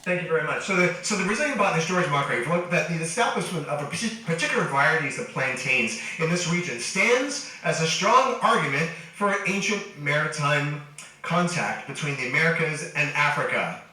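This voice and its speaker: Coughing lecturer in an echoey hall. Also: male voice, a little high, a little fast, distant mic. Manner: animated, jokes with the audience. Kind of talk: public lecture.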